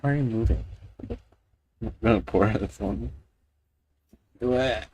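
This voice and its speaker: A man's voice: on the low side, takes it slowly, a little mellow, husky. A man chatting casually and teasingly as a companion during someone's gameplay. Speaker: streamer's gaming companion.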